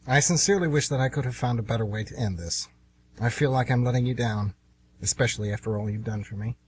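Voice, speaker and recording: A man's voice: medium pitch, quick pace, slightly rough, no room sound, lightly acted. A man playing a polite, understated hitman who poses as a friendly tourist in an amateur radio play. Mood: rueful, polite. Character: smooth, rueful male hitman posing as friendly tourist.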